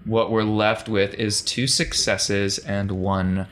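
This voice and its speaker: Bright-voiced man running a game. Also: fairly low pitch, little pitch movement, slow, a little hiss or hum. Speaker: game master. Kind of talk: narrating a tabletop game.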